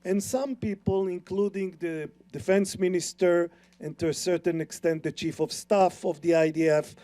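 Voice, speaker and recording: Earnest Israeli man with a warm voice. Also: high-pitched, fairly even intonation, normal speed. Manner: earnest, emphatic explaining with restarts. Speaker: panelist. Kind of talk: panel discussion.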